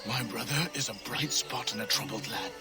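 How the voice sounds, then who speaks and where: An actor playing an ailing, courteous colonial gentleman in a video game, speaking in a formal, measured way. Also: mid-range voice, close to an everyday voice. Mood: courteous, weary. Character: ailing, dignified colonial gentleman, a Templar leader. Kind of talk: dramatic video game cutscene acting. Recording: music playing behind the voice.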